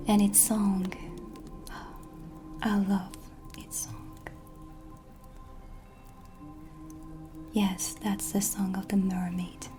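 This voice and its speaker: A woman acts out a dreamy, kindly witch in her shop for a cinematic ASMR roleplay. She whispers softly and slowly, in a gentle, confiding way, with music playing clearly behind her. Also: medium pitch, close to an everyday voice. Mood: admiring, earnest. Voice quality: breathy, whispery soft voice. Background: ambient music.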